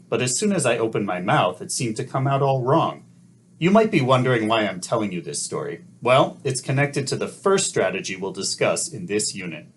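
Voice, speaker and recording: A middle-aged man narrating a scripted teacher-training lesson in a calm, measured, explanatory way. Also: lowish voice, slight background noise.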